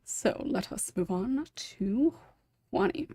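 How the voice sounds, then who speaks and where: A woman's voice: mid-range voice, animated, slow pace, dark tone, no room sound. A woman hosting a casual panel discussion, moving between questions and guests as moderator. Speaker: podcast host and moderator.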